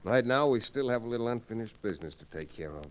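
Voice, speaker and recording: An actor playing a cool, steady frontier lawyer in an old-time radio western, speaking in a measured, understated way. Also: male voice, medium pitch, lightly acted. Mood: cool, probing. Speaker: lead actor and narrator. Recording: noisy old radio recording.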